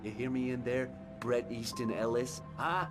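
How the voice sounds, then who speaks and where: An actor playing a taunting, hot-tempered, drunken federal agent in a thriller game. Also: male voice, medium pitch, moderate pace, lightly acted. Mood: gloating. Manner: taunting, mocking. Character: sneering, volatile drunken male federal agent. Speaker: FBI agent antagonist. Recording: clear music behind voice.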